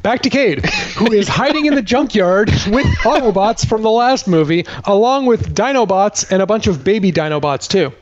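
High-pitched sardonic man recapping a movie. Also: expressive, moderate pace, hardly any pauses, lo-fi sound. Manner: sardonic, exasperated. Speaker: podcast host. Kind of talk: comedic movie recap read in dialogue with co-host.

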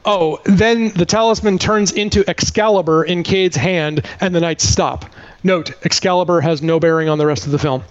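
High-voiced man, podcast host, sarcastic and exasperated storyteller, comedic plot recap, slightly lo-fi sound. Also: normal speed, clear, resonant tone.

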